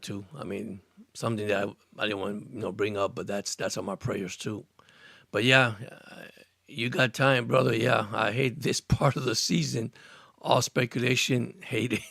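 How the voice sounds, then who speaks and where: A man's voice: on the low side, fairly even intonation, normal speed, creaky, fairly bright tone, dry recording. A man of about sixty from Cuba, raised in Miami, hosting a casual sports live stream, chatting in a rambling, earnest way and answering viewer comments. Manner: rambling, repeats words and restarts, earnest. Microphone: studio microphone.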